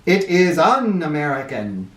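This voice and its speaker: Storybook president, male, stout and easily exasperated, played by a woman; animated swings, grandiose bellowing, roomy sound. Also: low-pitched, a little slow. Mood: pompous, indignant. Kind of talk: children's storybook character voice. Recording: roomy, somewhat far from mic.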